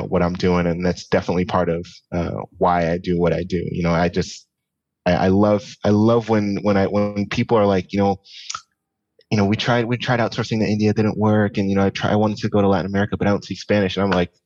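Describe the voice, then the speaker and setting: A man's voice: sits low, no echo, dull audio. A man in his thirties chatting casually and earnestly as a guest in an interview. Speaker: podcast guest, tech business founder.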